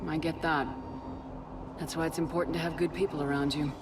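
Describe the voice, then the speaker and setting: Game commander woman actor, deep female register, direct and caring, over background rumble. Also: flat delivery, a little fast, close to an everyday voice. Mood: calm, sympathetic. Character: resolute military commander heroine, calm and caring. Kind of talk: video game cutscene acting.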